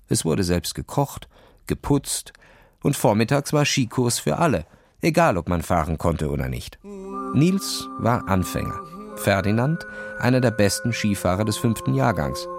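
A man's voice: mid-range voice, creaky. A man reading a scripted story for children as a narrator. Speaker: audiobook narrator.

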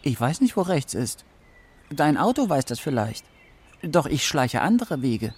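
Sly, proud forest fox, husky, swooping delivery, for a children's radio play. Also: male voice, on the high side, very animated, measured pace, heavily acted. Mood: musing.

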